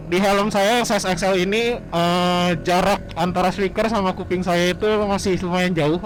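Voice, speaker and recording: A man reviewing gear while riding a motorbike, talking in a raised voice through his helmet. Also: sits high. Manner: raised voice over riding noise. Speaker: product reviewer. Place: on a motorbike outdoors, wearing a helmet.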